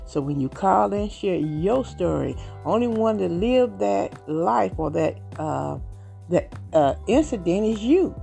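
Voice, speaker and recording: A woman in her late fifties with a Southern US accent. She speaks in an earnest, rambling way. Her voice is dark and gravelly, and faint instrumental music plays behind her. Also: lowish voice. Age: 50s. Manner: earnest, rambling, warm-hearted testimony. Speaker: podcast host. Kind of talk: casual talk.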